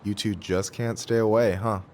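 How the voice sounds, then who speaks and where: An actor playing a laconic, deadpan store worker in a naturalistic video game drama. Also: male voice, lowish voice, moderate pace, slight background noise, natural voice. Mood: dry, casual. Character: laconic, deadpan male store worker, casual and mildly grumbling.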